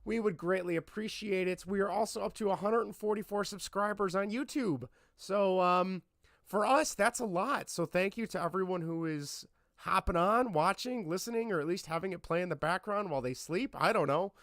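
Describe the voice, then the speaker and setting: A man in his thirties hosting a casual podcast, hoarse from laryngitis, chatty and self-deprecating. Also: high-pitched, moderate pace, fairly bright tone, no room sound, clean studio sound. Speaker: podcast host.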